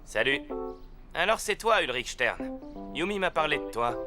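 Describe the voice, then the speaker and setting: An actor playing a breezy, confident teenage schoolboy in a cartoon. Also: male voice, fairly high pitch, big pitch swings, normal speed. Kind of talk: animated series dubbing. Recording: music behind.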